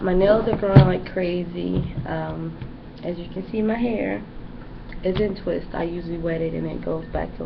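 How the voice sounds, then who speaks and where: A woman's voice: on the low side, creaky. A young woman giving a casual, rambling pregnancy update in a vlog, with lots of fillers. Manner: rambling and chatty, many fillers. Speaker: pregnant vlogger. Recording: dull lo-fi home recording with background noise.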